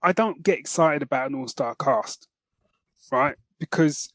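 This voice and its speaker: A British man co-hosting a podcast, reviewing enthusiastically in casual, slangy, animated talk. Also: on the high side, unhurried, dry recording. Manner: animated, slangy, often addresses listener as man. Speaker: podcast host.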